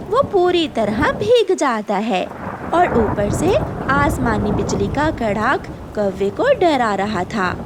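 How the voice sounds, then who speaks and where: A woman's voice: on the high side, heavy background noise. A woman narrating a scripted children's story as its storyteller.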